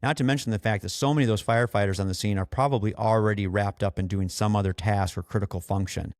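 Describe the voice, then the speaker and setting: A man hosting a podcast as an experienced firefighter, explaining things earnestly in a conversational, halting way with repeated and restarted phrases. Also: fairly low pitch, fairly even intonation, dry recording, studio quality.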